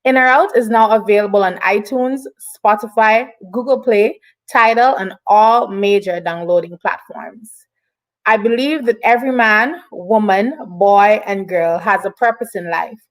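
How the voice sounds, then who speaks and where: A woman presents an online interview show in a casual style. She sounds upbeat and encouraging, moving between reading introductions and chatting. Her pitch sits in a middle range for a woman. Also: a little slow, rough recording.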